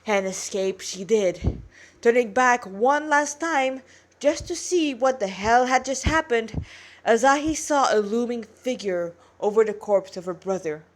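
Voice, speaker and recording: A woman's voice: fairly high pitch, lively intonation, unhurried. A woman with a congested nose reading a fantasy story aloud as an amateur narrator.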